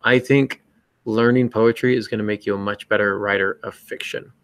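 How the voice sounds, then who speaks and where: A man's voice: fairly low pitch, fairly even intonation, moderate pace, fairly bright tone, dry recording. A man co-hosting a podcast, giving casual writing advice in a joking, self-mocking manner. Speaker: podcast co-host and novelist.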